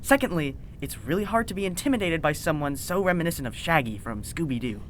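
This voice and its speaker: Casting: woman as self-important, dodgy scientist for audio drama, lowish voice, dry and haughty, very varied intonation. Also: expressive, fast talker, slight background noise. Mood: dry, indignant. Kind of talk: audio fiction podcast acting.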